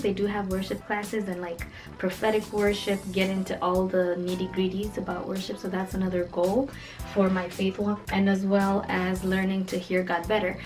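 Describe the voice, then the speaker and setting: Chatty young woman vlogger over music. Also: medium pitch. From Kenya. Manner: animated, playful, emphatic, conversational. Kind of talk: casual chatty vlog.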